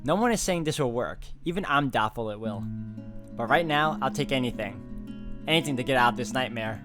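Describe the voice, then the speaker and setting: A man reading a visual novel aloud as dramatic, brooding first-person narration, phrasing it deliberately. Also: mid-range voice, animated. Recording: background guitar music over the voice.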